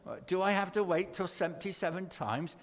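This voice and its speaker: A man's voice: high-pitched, lively intonation, a little fast, pitched above the performer's own voice. A man voicing a puzzled, exasperated apostle in a children's Bible story, in an echoey hall. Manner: exasperated, incredulous questioning.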